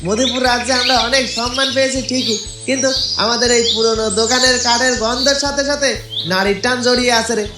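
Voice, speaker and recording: A man's voice: extremely high pitch, steady tone, fairly quick. A man playing a dreamy, cheerful village sweet maker in a cartoon, enthusiastic and sentimental. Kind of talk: cartoon comic voice acting. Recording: echoey, loud music underneath.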